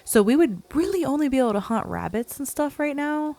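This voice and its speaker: A woman hosting a relaxed gameplay video, her voice scratchy and croaky from a cold, chatting in a laid-back, self-deprecating way. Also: high voice, brisk. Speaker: gaming channel host. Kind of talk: casual gameplay commentary.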